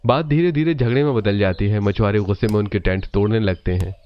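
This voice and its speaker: एक आदमी जो एक कहानी को स्थिर, सधी हुई आवाज़ में सुनाता है।. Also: male voice, on the low side, quick pace, dull audio. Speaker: narrator. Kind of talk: scripted story narration.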